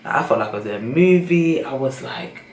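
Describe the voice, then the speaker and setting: A woman's voice: very deep voice, animated, fairly quick, creaky, darkish tone. A young woman with a British accent, chatting casually and rambling to her camera. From Britain. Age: young adult. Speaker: vlogger. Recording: echoey room with faint noise.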